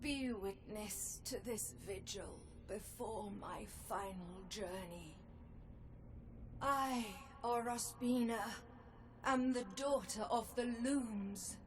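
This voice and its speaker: An actress playing a proud, elegant swordswoman, eldest of an order of embroiderers, in a video game, with ornate, theatrical, deliberate phrasing. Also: mid-range voice, noisy background, natural voice. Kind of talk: dramatic video game voice acting.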